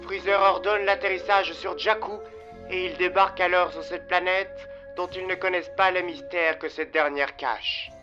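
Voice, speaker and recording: Casting: male narrator, high-pitched, dramatic scripted storytelling, strong music bed. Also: fairly even intonation, moderate pace, bright, forceful tone, lo-fi sound.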